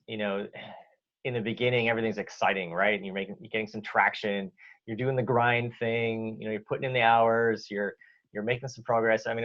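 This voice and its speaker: A man with a Canadian accent, a guest answering interview questions in a relaxed way, with many fillers and restarts. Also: fairly low pitch. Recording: dull lo-fi audio.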